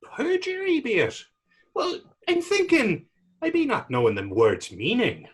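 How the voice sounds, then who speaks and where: A man's voice: extremely high pitch, wildly swooping pitch, measured pace, husky, heavily acted. A man playing a naive, earnest young fellow in readers theater, speaking rambling, guileless old-fashioned dialect. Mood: innocent, evasive. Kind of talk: readers theater stage acting.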